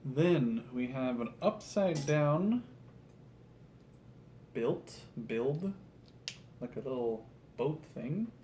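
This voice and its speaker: A man in his twenties chatting casually as a video host while he builds, rambling, halting and self-deprecating. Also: mid-range voice, lively intonation, dark tone. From Netherlands. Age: 20s. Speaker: YouTube host. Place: small room at home, indoors at a table. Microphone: camera across the table. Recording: room noise, clicking pieces, slightly distant mic.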